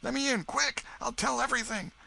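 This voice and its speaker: A man voicing a scared ragged boy who pleads in a high, breathless voice. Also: brisk, gravelly, pushed and bright, a little hiss or hum, heavily acted. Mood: pleading.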